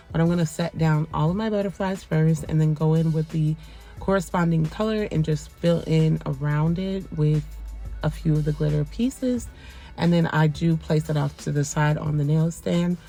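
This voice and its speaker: A woman giving a soft-spoken voice-over for a nail tutorial, polite and relaxed, rambling with frequent ums. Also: low-pitched, gravelly. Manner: soft, quiet, polite phone-etiquette voice. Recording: voice-over with faint background music.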